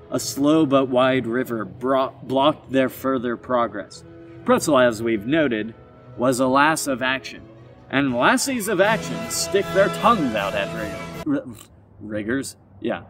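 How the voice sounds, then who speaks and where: A man's voice: medium pitch, recorded from a distance. A man telling a comic story aloud, reading dramatically with informal asides and stumbling self-corrections.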